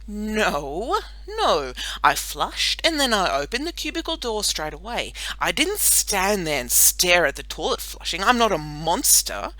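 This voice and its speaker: A woman's voice: medium pitch, very animated, unhurried, few pauses, bright, forceful tone, no room sound, voice pushed up, poor audio. A woman voicing a nervous, defensive young schoolgirl in a children's story, flustered and emphatic. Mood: indignant.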